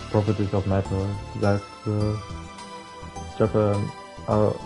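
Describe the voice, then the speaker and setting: A man casually reading a visual novel's text aloud as a playthrough host, halting at times and adding offhand asides. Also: lowish voice. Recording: game music behind the voice. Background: game music.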